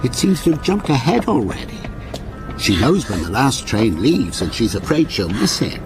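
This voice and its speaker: An actor playing a courteous, softly insistent storyteller and train passenger in a radio ghost story, with a measured, solicitous way of talking. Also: male voice, medium pitch, big pitch swings, over loud music. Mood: atmospheric, suspenseful.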